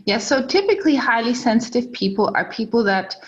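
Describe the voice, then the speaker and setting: A woman's voice: a little high, expressive, fairly quick, muffled recording. A woman from Germany, a guest on a podcast, speaking articulately with frequent laughs and "um" fillers. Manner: warm, articulate, laughs often, uses um fillers. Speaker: guest, entrepreneur. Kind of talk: podcast interview.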